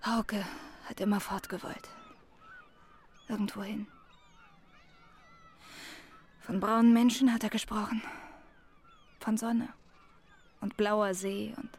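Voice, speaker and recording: Radio play role: young grieving widow, medium pitch, terse and restrained, faint noise behind. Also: female voice, wildly swooping pitch, a little slow, long pauses. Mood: subdued, resolute. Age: young adult. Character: young widow leaving home, grieving, quietly resolute. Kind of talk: German radio drama acting.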